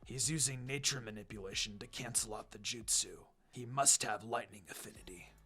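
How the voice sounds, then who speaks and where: A low-pitched, menacing swordsman villain from an adventure story, acted out by a male reader. He is cocky and mocking, taunting in a smug drawl. Music plays under the voice. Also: moderate pace, sharp tone, husky. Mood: wary.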